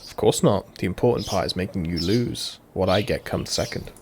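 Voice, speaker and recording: Guy doing a lets play, lowish voice, reads lines out dramatically w casual asides, noisy mic and birds chirping. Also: brisk. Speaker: let's-player. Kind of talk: reading game dialogue aloud with casual commentary.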